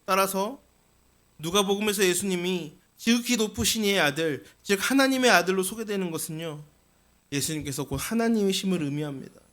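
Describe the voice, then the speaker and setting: A male pastor delivers a Sunday sermon in a church sanctuary, his voice sitting high. He talks earnestly and directly to his listeners, often turning to rhetorical questions. The tone stays bright and forward throughout. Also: a little fast. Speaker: senior pastor.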